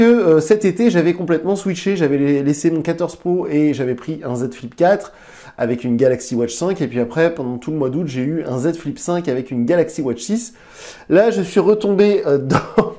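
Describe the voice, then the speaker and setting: A man hosting a casual review of a new phone, his voice a little high but soft and dark in tone. A cold leaves him stuffy and nasal. He rambles easily, restarting and filling pauses as he goes. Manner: chatty, hesitant fillers and restarts. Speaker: tech reviewer, channel host. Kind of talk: casual tech review to camera. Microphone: lapel mic.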